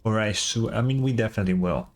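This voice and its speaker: A man's voice: on the low side, fast talker, a little hiss or hum. Casual male game streamer thinking aloud. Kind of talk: casual reaction commentary.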